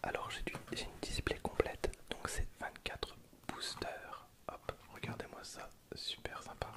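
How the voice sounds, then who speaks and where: An ASMR host speaking in a soft, breathy whisper, chatting casually and informally to viewers in an unscripted, rambling way. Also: unhurried. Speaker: host of an ASMR card-opening video channel.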